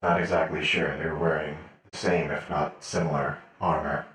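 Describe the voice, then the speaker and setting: A man playing a menacing captured cyborg assassin in a sci-fi game role-play, terse, cold and deadpan. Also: very deep voice, moderate pace, very echoey, recorded from a distance. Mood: terse, guarded.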